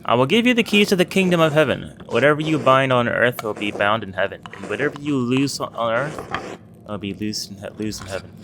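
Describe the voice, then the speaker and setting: A man's voice: medium pitch, animated, fairly quick, noisy background, close to an everyday voice. A man voicing a solemn monk who reads scripture formally in a video game. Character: solemn monk reading scripture aloud at table. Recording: music under the voice.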